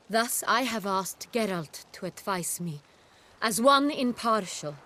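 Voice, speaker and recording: A regal young duchess from a video game, with an unhurried, mid-pitched voice. Her delivery is formal and dignified, each phrase stately and carefully placed. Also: fairly bright tone. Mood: stern, conflicted. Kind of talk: video game cutscene acting.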